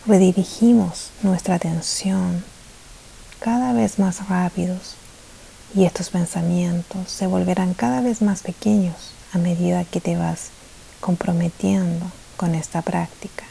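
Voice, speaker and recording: Very mellow, slow, creaky woman guiding meditation. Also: on the low side, steady tone, poor audio. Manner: soft-spoken, often drifting into breathy near-whisper; soothing, gentle phrasing with pauses. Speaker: meditation guide. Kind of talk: guided meditation. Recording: faint hiss behind the voice.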